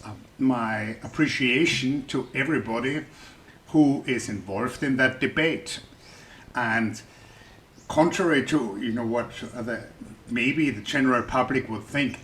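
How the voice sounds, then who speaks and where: A man's voice: mid-range voice, unhurried, fairly bright tone, a little hiss or hum. Male science YouTuber with a German accent, mid-range voice, loose chatty delivery that often circles back mid-sentence. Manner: chatty, thinking aloud with restarts. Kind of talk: explaining research for the general public.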